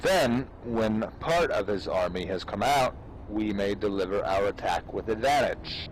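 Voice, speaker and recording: A man giving a dramatic, measured reading of an old military treatise in a formal, declamatory way. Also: fairly low pitch, expressive, slight background noise, low-quality recording. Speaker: amateur reader.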